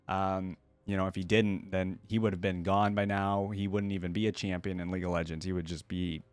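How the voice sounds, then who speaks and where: A man with a fairly low voice gives casual reaction commentary. He talks informally, often repeating words for emphasis. The sound is dry, with little room echo. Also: clear, resonant tone. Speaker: reaction video host.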